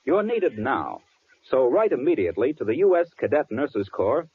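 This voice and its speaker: A man's voice: mid-range voice, big pitch swings, moderate pace, slightly rough. A man announcing an earnest, emphatic, formal wartime appeal in 1940s radio style. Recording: thin, phone-like 1940s radio broadcast.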